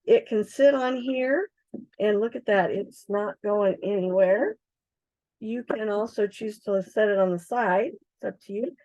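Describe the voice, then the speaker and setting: A middle-aged woman with a hoarse, froggy voice, chatting casually as she demonstrates craft supplies on a livestream, with many ums and uhs. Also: medium pitch, unhurried, a little mellow, rough recording. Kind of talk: casual live product demonstration.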